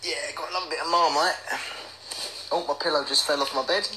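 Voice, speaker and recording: A man's voice: a little high, steady tone, fast, noisy background. A young man chatting casually on a livestream, reading out comments in a playful, silly manner. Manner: playful, silly, breaks into bits of song. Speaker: livestream host.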